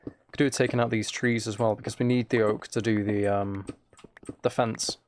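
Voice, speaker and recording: A man livestreaming a game with a flat, mellow voice, rambling casually and softly to his viewers in a low-key way. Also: normal speed, slight background noise. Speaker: live streamer. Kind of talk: casual gaming livestream chat, soft ASMR-like. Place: desk at home, live stream.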